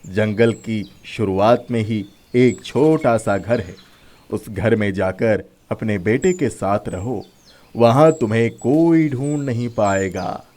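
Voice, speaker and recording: A man's voice: fairly low pitch, slow, warm tone. A man voicing a helpful magical talking pakora in a children's story, giving instructions in a commanding way. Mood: commanding, reassuring. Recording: music playing behind the voice.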